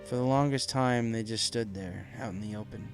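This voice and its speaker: A man's voice: lowish voice, moderate pace. A man narrating a dramatic story from a script as an audiobook reader. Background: faint music.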